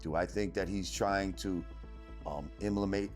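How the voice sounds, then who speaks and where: A man talking casually and bluntly, haltingly, answering his own questions. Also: deep voice, steady tone. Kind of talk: casual spoken commentary. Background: music.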